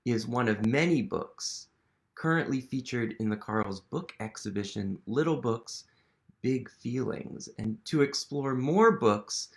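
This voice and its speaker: A man reading a picture book aloud to children, gently asking them questions and sometimes dropping to a whisper. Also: medium pitch, vocal fry. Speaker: museum storytime reader.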